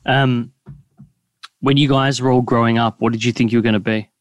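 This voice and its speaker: A man hosting a casual podcast interview, asking relaxed, conversational questions. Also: mid-range voice, fairly quick, dry recording, highs cut off. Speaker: podcast host.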